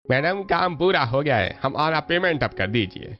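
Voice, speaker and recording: A man's voice: a little high, big pitch swings, moderate pace, pitched below the performer's own voice. A man voicing a polite, obliging building contractor in a cartoon story, deferential in manner. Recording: dull lo-fi audio with music behind.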